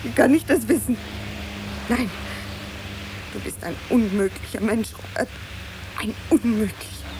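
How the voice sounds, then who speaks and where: A woman playing an overwrought, romantic young aspiring actress in a radio drama, with an expressive, theatrical delivery. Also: a little high, measured pace, long pauses, noisy background, natural voice. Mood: reproachful. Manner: melodramatic, expressive. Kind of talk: German radio drama acting.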